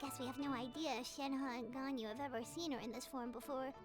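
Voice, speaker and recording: A woman voicing a tiny, childlike fairy companion in a video game, squeaky, chatty and perky. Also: steady tone, fast talker, loud music behind the voice, lightly acted. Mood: curious. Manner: perky, exclamatory. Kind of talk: video game cartoon voice acting.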